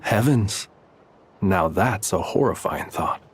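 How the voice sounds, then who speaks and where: An actor voicing a calm, teasing male lighthouse keeper in a game, speaking with dry humor and an unhurried manner. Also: low voice, slight background noise, full character voice. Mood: wry. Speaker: game character.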